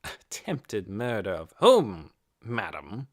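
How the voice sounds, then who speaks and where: A man's voice: on the low side, wildly swooping pitch, takes it slowly, heavily acted. A reader voicing a tall, stooped, pompous man who speaks formally and with indignation in an audiobook. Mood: haughty. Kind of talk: audiobook character voice.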